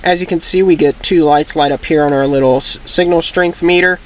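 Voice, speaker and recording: A man's voice: a little high, steady tone, brisk, poor audio. A man hosting a how-to video, explaining things methodically, step by step, in a casual instructional style.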